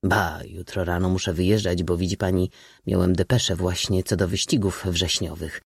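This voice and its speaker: Awkward, blurting young aristocrat in love, audiobook, male reader acting, husky low voice, hesitant and keen. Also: little pitch movement, normal speed, dry recording, clean studio sound, full character voice. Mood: boastful, chatty. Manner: blurting, hesitant, self-correcting. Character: bumbling young aristocratic suitor, horse-mad, eager, awkward.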